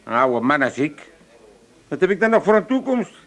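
A man's voice: fairly high pitch, sing-song, moderate pace, fairly bright tone. A man playing a grumpy, mocking old working-class Amsterdammer in a comic radio play, blustering and colloquial. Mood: mock dread. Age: older.

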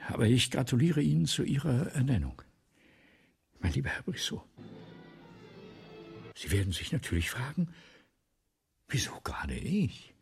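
An actor playing a vain, ailing, forgetful old writer in a radio play, with a theatrical, pompous, halting manner and a breathy voice that often drops toward a whisper. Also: male voice, mid-range voice, big pitch swings, a little mellow, faint music behind. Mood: condescending, self-important. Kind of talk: 1970s German radio play acting.

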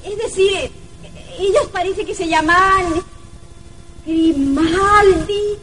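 Very shrill, fussy neighbour lady. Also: takes it slowly, a bit bright, exaggerated voice. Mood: polite, chatty. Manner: shrill, singsong, dithering. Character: chatty, fussy neighbour lady, eager and flustered. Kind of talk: old radio drama acting. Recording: old radio drama recording.

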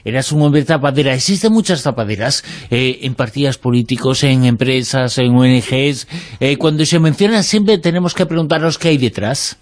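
A man's voice: mid-range voice, moderate pace, dry recording. A male radio contributor in the studio asking short, reflective questions of a guest. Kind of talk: radio interview.

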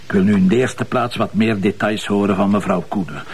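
An actor playing a blunt, sardonic, impatient police inspector with a Flemish accent in a crime drama. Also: male voice, lowish voice, steady tone, a little fast, husky. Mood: brisk, sardonic. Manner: confrontational, sardonic. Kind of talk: naturalistic TV crime drama dialogue.

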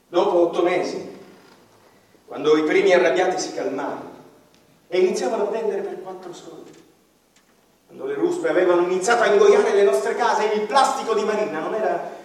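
An actor playing an embittered, idealistic ordinary man in a theatrical radio monologue, declamatory, with dramatic pauses and swings from hushed to loud. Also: sits high, close to an everyday voice. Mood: bitter, wistful. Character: embittered, idealistic ordinary man, a small-town activist defending his land. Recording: very echoey hall, distant mic, faint music. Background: faint violin music.

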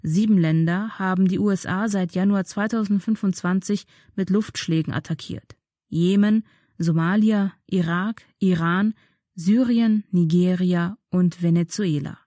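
A woman presenter reading a scripted political commentary aloud in a measured, formal way. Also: fairly low pitch, warm tone, dry recording, clean studio sound.

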